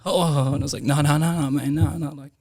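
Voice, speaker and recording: A man's voice: a little high, fast talker, slightly rough. A young man with a New Zealand accent, a guest telling stories in a casual podcast chat, laughing often. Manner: laughs often, relaxed storytelling with slang. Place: podcast room.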